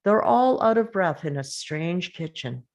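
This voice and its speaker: A woman narrating the action lines of a screenplay at a table read, steady and measured. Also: on the low side.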